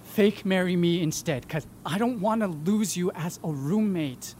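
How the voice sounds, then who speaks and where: A man's voice: high voice, unhurried, a little mellow, slight background noise. A man playing an exasperated, world-weary young roommate in a comedic audio drama. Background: music.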